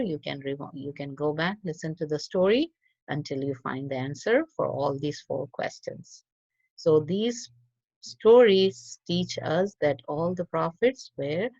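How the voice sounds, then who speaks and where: A woman reading a religious story for children in an expressive, teacherly way. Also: sits low, dry recording. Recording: dull lo-fi audio.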